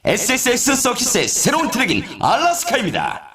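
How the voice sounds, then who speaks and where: An actor voicing an excitable male snowboard race announcer in a video game, with a polished, showy scripted delivery. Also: extremely high pitch, brisk, roomy sound, a crowd in the background, full character voice. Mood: showy, hyped. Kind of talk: scripted game commentary, course introductions.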